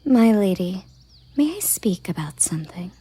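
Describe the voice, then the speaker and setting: A woman voicing a dutiful, formal elven guard captain in a breathy, measured ASMR roleplay whisper. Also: medium pitch, big pitch swings, dark tone. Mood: deferential, concerned. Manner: formal, measured, matter-of-fact.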